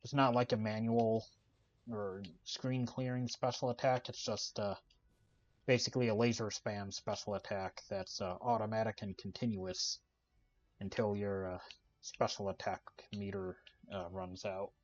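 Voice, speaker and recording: Male gaming host, low-pitched and soft-spoken, laid-back commentary, lo-fi mic sound. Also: a little slow. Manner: relaxed, many "uh" fillers, explains the game while playing. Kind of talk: casual gameplay commentary. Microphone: desk microphone.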